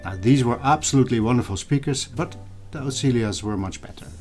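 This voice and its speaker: A middle-aged man hosts a scripted vlog with a medium-pitched voice. He explains things in a measured way and talks straight to the viewer, with clearly audible guitar music playing behind him. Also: a little mellow. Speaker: video channel host. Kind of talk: scripted vlog narration over old photos and footage.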